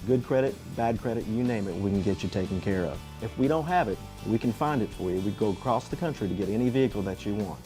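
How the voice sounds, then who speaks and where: A man, reading his own car dealership radio ad as a folksy sales pitch. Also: expressive, quick pace, hardly any pauses, dark tone, soft background music. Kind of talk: radio ad read.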